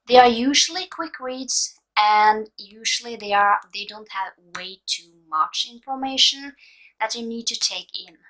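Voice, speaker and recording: A young Norwegian woman in her twenties talks slowly and loosely, full of hesitations and fillers. Her voice is bright and clear, with a Norwegian accent. English is not her first language. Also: a little high, rough recording. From Norway. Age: 20s. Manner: relaxed, hesitant, many fillers. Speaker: book vlogger. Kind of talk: casual advice vlog.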